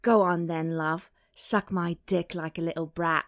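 A woman's voice: on the low side, fairly even intonation, measured pace, dry recording, clean studio sound. Woman reading as commanding, lustful male romance lover. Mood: commanding, taunting. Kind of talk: audiobook character dialogue.

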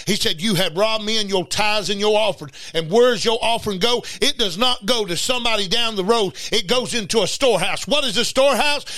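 A man's voice: high voice, pushed and bright, no echo. An angry man preaching in a confrontational, scolding way, firing off rhetorical questions and repeating his refrains. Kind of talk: fiery informal sermon.

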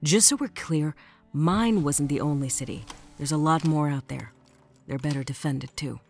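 A woman's voice: sits low, normal speed, darkish tone. A woman plays a human rebel in a sci-fi audio drama. She sounds wry, confident and casual, with faint music underneath. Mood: easygoing.

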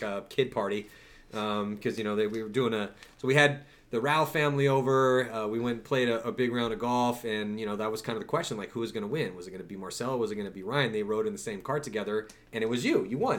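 A man's voice: fairly low pitch, fast talker. A man talking casually as a guest on a talk show, slangy and emphatic. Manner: slangy, emphatic, mild swearing. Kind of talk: casual chat.

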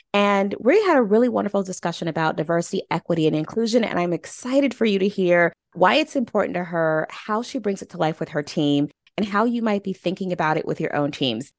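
A woman's voice: lowish voice, expressive, fairly quick, no echo, clean studio sound. A woman speaking conversationally as the host of an interview podcast.

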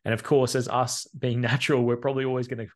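A man's voice: medium pitch, flat delivery, fast talker. A man co-hosting a podcast, agreeing with others and leading questions, with plenty of fillers. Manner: agreeable, lots of fillers and restarts, leads questions. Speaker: podcast co-host. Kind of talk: casual podcast chat.

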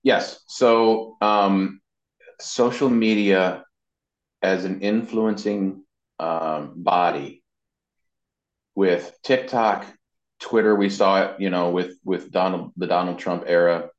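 A man's voice: low-pitched, steady tone, slow pace, roomy sound, muffled recording. A man giving casual, explanatory answers as an interview guest, stressing his points by repeating them. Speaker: interview guest, web marketing consultant and voice actor.

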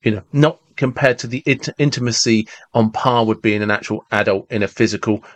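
A man's voice: medium pitch, a little fast, no echo. A man in his forties hosting a podcast, talking animatedly and often restarting his words as he reasons aloud. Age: 40s. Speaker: podcast host. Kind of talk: casual conversation.

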